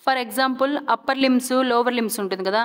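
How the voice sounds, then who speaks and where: A woman with a Telugu Indian accent giving a scripted educational lecture as an instructor, deliberate and point by point, mixing in English technical terms. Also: fairly high pitch. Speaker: physiotherapy instructor. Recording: long hall-like reverb.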